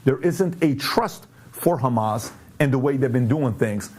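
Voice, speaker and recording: A man born and raised in Iran hosts a commentary show, posing pointed questions and walking through charts in an emphatic, animated way. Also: mid-range voice, unhurried, slightly rough. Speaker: show host.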